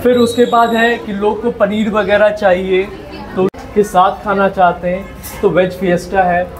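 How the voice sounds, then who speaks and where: A man's voice: high-pitched, flat delivery, unhurried, rough recording. A man working at a restaurant, explaining menu items, combos and prices in a casual, salesy way. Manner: salesy, listing prices and deals. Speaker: restaurant staff.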